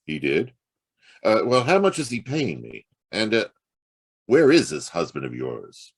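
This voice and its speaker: A man's voice: lowish voice, huge pitch swings, measured pace, breathy edge, no room sound, studio quality, heavily acted. An actor playing a world-weary, wisecracking private detective in a noir audio drama, with wry, clipped tough-guy delivery. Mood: wry, probing. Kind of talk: noir radio-play acting and first-person narration.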